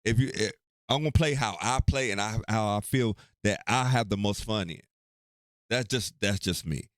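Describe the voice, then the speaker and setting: A man's voice: fairly low pitch, moderate pace, no room sound, studio quality. A man in his forties co-hosting a casual podcast, blunt and emphatic with stammered restarts. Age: 40s. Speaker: podcast co-host.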